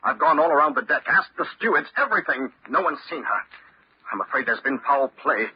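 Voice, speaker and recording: Distraught, urgent husband for old radio drama, very husky, muffled sound. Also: male voice, fairly high pitch, lively intonation, fairly quick. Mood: frantic, worried. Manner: urgent, agitated. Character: distraught, anxious husband, a diamond courier. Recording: dull old radio recording.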